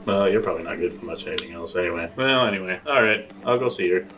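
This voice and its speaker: A man's voice: low voice, fast, sharp tone, a little hiss or hum. A man playing a grumpy, sleepy, cheeky man in a tabletop roleplay, whiny, flustered and sarcastic. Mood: flustered, snippy.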